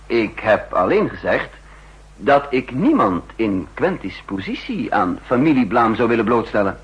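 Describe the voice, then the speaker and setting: An actor playing a cool, calculating spy chief who narrates in the first person, in a 1960s radio drama. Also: male voice, medium pitch, sing-song, breathy edge, dull audio, exaggerated voice. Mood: sardonic. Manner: measured, sardonic. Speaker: narrator and lead.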